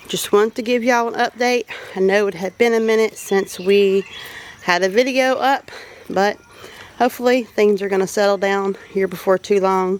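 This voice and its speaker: A woman with a Southern US accent giving a casual, chatty garden tour in a folksy way. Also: mid-range voice. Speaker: homesteading vlogger. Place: outdoors in a home garden. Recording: outdoor ambience with animal noises. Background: birdsong and farm animal sounds.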